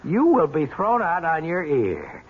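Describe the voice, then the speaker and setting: An actor playing an edgy, suspicious fiancé in a 1970s radio drama, defensive and combative in manner. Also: male voice, on the high side, wildly swooping pitch, unhurried, slightly rough, full character voice. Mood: bitter, accusing. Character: edgy, suspicious man engaged to an heiress. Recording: dull, noisy old radio recording.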